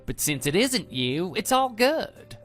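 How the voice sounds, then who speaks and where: A man's voice: high-pitched, sing-song, slow pace, a bit bright, voice pushed up, full character voice. A man voicing a brash, evasive young former student in a scripted audio drama, with a flippant, defensive manner. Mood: relieved, flippant. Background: background music.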